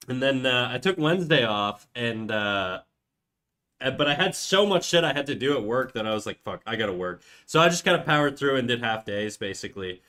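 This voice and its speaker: Young man, stuffy nose, nasal, casual swearing chat, bright tone. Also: medium pitch. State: congested, nasal from a cold. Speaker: streamer. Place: home room.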